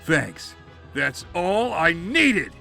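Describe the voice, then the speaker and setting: Man doing a high, cocky Hollywood action star. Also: sing-song, drawn-out words, vocal fry, sharp tone, soft background music, exaggerated voice. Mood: indignant bravado. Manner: brash, exclamatory. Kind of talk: amateur comic dub voice acting.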